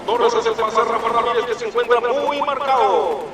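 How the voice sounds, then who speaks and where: High-pitched excited match announcer, echoey distant stadium sound, noisy, radio play. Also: male voice, over music. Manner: rapid, shouting goal calls. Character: excited male football radio commentator, adult. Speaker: match commentator. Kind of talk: radio drama sports commentary.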